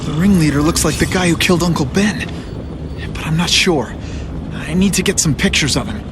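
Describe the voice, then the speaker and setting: A man's voice: a little high, fairly quick, background noise, lightly acted. A young man voicing a wry masked hero. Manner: wry, quipping. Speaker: narrator and lead character.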